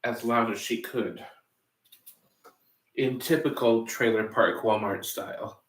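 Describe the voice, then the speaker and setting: A man's voice: medium pitch, fairly even intonation, takes it slowly, slight background noise. A man hosting a cooking livestream, rambling casually with playful, silly asides. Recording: echoey room.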